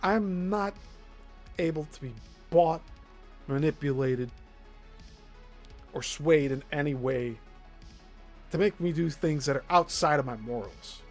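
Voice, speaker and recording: Slow-talking Midwestern man, exasperated. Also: on the high side. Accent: Midwestern American. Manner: blunt, exasperated, laughs often. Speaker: show host. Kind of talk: live-stream commentary on played clips.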